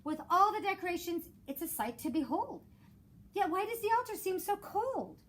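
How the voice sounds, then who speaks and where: High-voiced woman reading to children. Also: lively intonation. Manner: measured storytelling with rhymed cadence. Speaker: elementary school teacher. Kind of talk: expressive children's picture book read-aloud. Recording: clear background noise.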